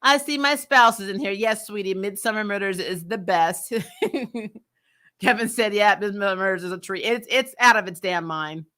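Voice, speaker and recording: Bright-voiced woman, blunt exasperated reviewer. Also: mid-range voice, moderate pace. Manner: exasperated, blunt and sweary, laughs while ranting. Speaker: host of a TV review show. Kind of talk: live casual opinionated review chat.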